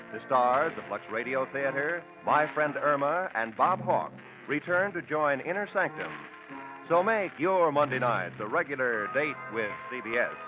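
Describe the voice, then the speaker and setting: An American man doing polished, emphatic scripted announcing in the style of 1940s network radio. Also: mid-range voice. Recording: muffled vintage radio recording.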